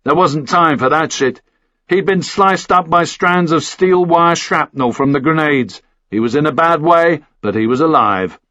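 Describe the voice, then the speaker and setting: A man's voice: fairly high pitch, a little slow, pushed and bright, no echo, dull audio. A man reading a first-person action thriller as scripted audiobook narration.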